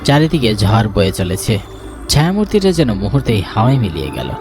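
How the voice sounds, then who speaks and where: A man's voice: on the low side, very animated, brisk. A man narrating a scripted ghost story in a dramatic storytelling style. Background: background music.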